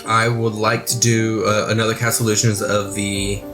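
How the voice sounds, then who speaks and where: A man's voice: fairly low pitch, level tone, normal speed, music in the background. A man chatting casually as a player in a tabletop game, a bit hesitant as he thinks aloud.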